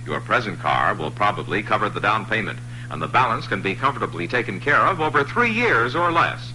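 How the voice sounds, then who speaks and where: A man reading a radio car commercial in a bright, punchy salesman delivery. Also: fairly low pitch, very animated, a little fast, soft background music, rough recording. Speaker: ad announcer. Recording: faintly hissy 1960s radio commercial.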